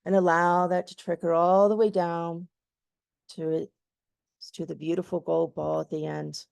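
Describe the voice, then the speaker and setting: A woman leads a guided meditation in gentle, lulling phrases. Her voice is warm and mellow at a middle pitch, and she speaks very slowly. The sound is close and dry, with no room in it. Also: flat delivery, vocal fry, smooth, dry recording.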